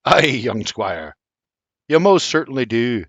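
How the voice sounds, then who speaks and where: A man's voice: medium pitch, sing-song, slow pace, a bit bright, no room sound, full character voice. A man voicing a fatherly, joking old Scottish nobleman in broad dialect. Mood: hearty. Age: older. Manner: boisterous, teasing, broad dialect.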